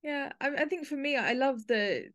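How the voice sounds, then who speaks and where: A woman's voice: sits high, expressive, normal speed, a bit bright. A woman hosting a podcast, talking conversationally as she interviews a guest. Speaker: podcast host.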